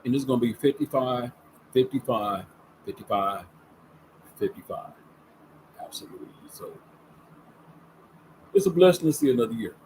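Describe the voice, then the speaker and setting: A man's voice: medium pitch, lively intonation, a little mellow, slight background noise, low-quality recording. Chatty fifty-something man talking sports. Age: 50s. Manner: chatty, folksy, drawn-out emphasis. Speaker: sports talk host. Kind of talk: casual sports commentary vlog. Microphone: camera setup with ring light.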